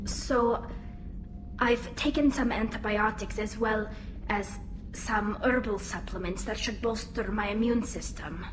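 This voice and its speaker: A woman playing an earnest, anxious young alien engineer in a video game, expressive and nervous in delivery. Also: mid-range voice, a little slow, noisy background, lightly acted. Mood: nervous, babbling.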